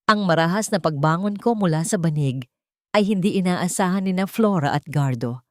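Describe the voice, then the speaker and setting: A woman's voice: lowish voice, expressive, moderate pace, a little mellow, no room sound, clean studio sound. A woman reading a dramatic first-person story as a scripted narrator.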